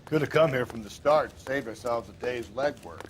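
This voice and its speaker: An actor playing a cynical, foul-mouthed American police detective in a video game, crude, bitter and world-weary. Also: male voice, medium pitch, fairly quick, a little hiss or hum, close to an everyday voice. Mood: grumbling. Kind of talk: acted dramatic video game dialogue.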